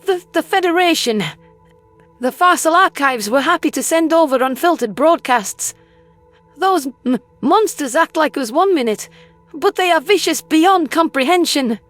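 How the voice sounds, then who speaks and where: A high, stammering female alien played by a woman in a science fiction audio drama. She is traumatized and bitter, speaking with agitated intensity about what frightens her. Faint music sits underneath. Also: expressive, measured pace. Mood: shaken, hesitant. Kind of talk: dramatized audiobook character acting.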